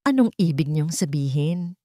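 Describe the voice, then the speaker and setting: A woman's voice: low voice, animated, moderate pace, dark tone, no echo, clean studio sound. A woman voicing a stern, wounded billionaire father in a narrated story, curt and confrontational. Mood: challenging.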